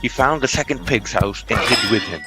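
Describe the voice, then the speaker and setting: A man's voice: on the low side, moderate pace, low-quality recording. A man narrating a storybook tale from a script. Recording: music under the voice.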